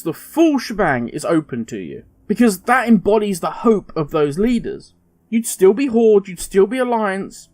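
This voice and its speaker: A man, a gaming commentator, musing aloud in a casual, conversational way, thinking out loud with fillers. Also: high-pitched, dry recording. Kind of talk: casual speculative monologue.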